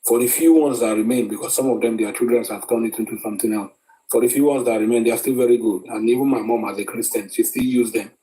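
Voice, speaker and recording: A man's voice: medium pitch, fairly even intonation, fast, warm tone, rough recording. A Nigerian man giving opinionated commentary in a group discussion, often hesitating and repeating words as he makes his points.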